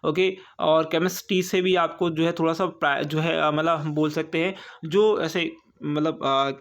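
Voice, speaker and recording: High-pitched Indian man teaching exam prep. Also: steady tone, unhurried, clean tone. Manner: repetitive, asks and answers own questions, frequent check-in tags. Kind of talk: informal exam-prep lecture.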